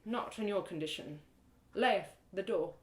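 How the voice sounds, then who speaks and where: A woman voicing a caring woodland healer woman in a storybook, firm, concerned and gently commanding. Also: mid-range voice, unhurried, close to an everyday voice. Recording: roomy echo.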